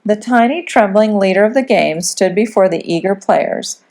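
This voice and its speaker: A woman reading a storybook aloud as a teacher would, in expressive, dramatic children's narration. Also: medium pitch, normal speed, soft background music.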